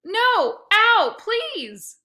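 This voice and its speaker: A woman voicing an eager, scheming schoolboy who runs a dog-walking business and yells after runaway dogs. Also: extremely high pitch, very slow, pitched above the performer's own voice, exaggerated voice. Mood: yelling. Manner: pushy, excitable, yelling at dogs.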